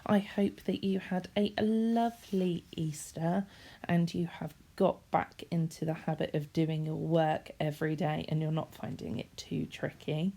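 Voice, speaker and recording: A woman's voice: low voice, level tone, unhurried, vocal fry, dark tone. A woman reading a children's story expressively, in the manner of a teacher speaking to young listeners.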